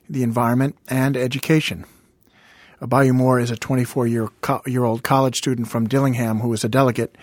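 A man presenting a radio news program in a smooth, practiced announcer delivery. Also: mid-range voice, no echo.

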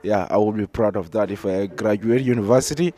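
A man's voice: fairly low pitch, a little fast. An eighteen-year-old man from Gambia with a Gambian accent, answering informally as a student in an interview. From Gambia, West Africa. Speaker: high school student being interviewed.

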